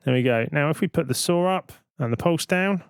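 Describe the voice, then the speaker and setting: A man's voice: on the high side, brisk, a little hiss or hum. A man presenting a tutorial in an informal, explanatory way, thinking aloud as he goes. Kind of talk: informal software tutorial narration.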